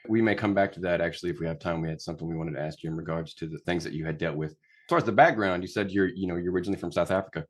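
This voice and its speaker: Deep-voiced young man hosting casually. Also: very fast. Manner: joking, rambling, with fillers. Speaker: podcast host. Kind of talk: casual podcast chat.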